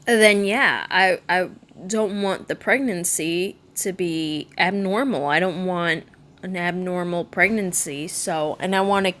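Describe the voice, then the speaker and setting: A woman in her mid-thirties, speaking candidly in a personal vlog, subdued and halting, with frequent ums and restarts. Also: on the low side, a bit bright. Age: 30s. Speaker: vlogger. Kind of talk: personal vlog talking to camera.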